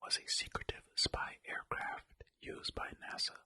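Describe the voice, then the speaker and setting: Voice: measured pace, sharp tone. A host whispering an ASMR reading of facts, with rambling, jokey, self-deprecating asides in a casual way. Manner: whispering throughout. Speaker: host of a video channel.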